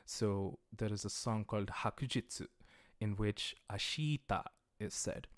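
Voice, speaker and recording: A man's voice: fairly low pitch, no echo, clean studio sound. A man whose first language is English, teaching a livestreamed Japanese lesson and patiently explaining patterns step by step. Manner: patient, explains step by step, reads viewer questions aloud; slows down to pronounce Japanese words carefully.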